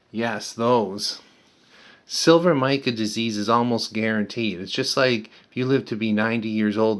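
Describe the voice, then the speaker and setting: A man's voice: on the low side, a bit bright. An older man casually walking through a repair in a plain-spoken, explanatory way as a hobbyist restorer. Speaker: vintage radio restorer and presenter. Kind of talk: casual hands-on repair walkthrough.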